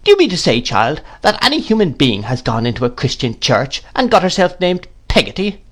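A man's voice: on the high side, very animated, normal speed, pitched above the performer's own voice. A man voicing an imperious, brusque, eccentric elderly woman in a theatrical audiobook, indignant and emphatic. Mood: indignant, bossy.

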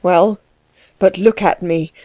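A woman's voice: lowish voice, fairly even intonation, slow, mellow, dry recording. A woman voicing an impassioned, insistent man pleading for love in an audiobook story. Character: lovesick man, intense, pleading, insistent, hopeless.

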